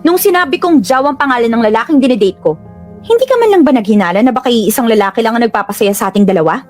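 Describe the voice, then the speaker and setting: Young female radio-drama lead, acted by a woman: high, very animated, emphatic, bossy daughter, music behind. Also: racing, close to an everyday voice. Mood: bossy, accusing. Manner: emphatic, mock-interrogating. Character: bossy, excitable grown daughter confronting her mother.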